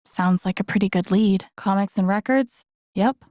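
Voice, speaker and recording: A woman playing a thoughtful, wry young game protagonist, musing to herself in low-key introspective lines. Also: moderate pace, dark tone, dry recording, clean studio sound, natural voice. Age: young adult.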